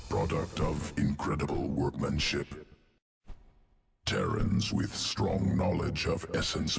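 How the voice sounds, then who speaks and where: Gravelly, abyssally deep alien creature. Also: male voice, exaggerated voice. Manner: clipped telegraphic phrasing, detached and analytical. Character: cold, clinical alien swarm creature, geneticist adviser. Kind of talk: video game character dialogue.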